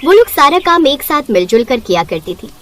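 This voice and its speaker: Fairly high-voiced woman narrating stories. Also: very animated, fairly quick, fairly bright tone, slight background noise. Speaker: story narrator. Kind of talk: scripted narration.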